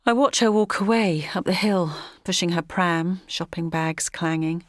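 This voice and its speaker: A woman's voice: on the low side, normal speed, no echo, studio quality, natural voice. An actress playing a wistful, reflective woman from small-town Essex, delivering a first-person audio drama monologue. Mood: wistful, detached.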